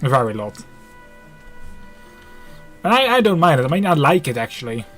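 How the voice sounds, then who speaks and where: A man's voice: a little high, sing-song, normal speed, a bit bright, faint music behind. A man live-streaming, giving casual, easygoing game commentary as he muses aloud.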